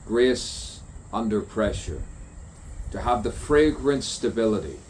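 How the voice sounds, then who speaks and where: A man's voice: fairly low pitch, sing-song, takes it slowly, darkish tone, a little hiss or hum. A man delivering a stream-of-consciousness spoken poem, musing and repeating rhyming phrases. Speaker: poet.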